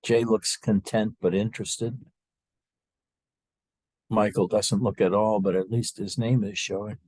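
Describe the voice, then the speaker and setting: A man leading a guided meditation as a spiritual teacher, speaking to a group in a slow, halting, unscripted way with long pauses. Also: lowish voice, little pitch movement, darkish tone, slightly rough, no echo.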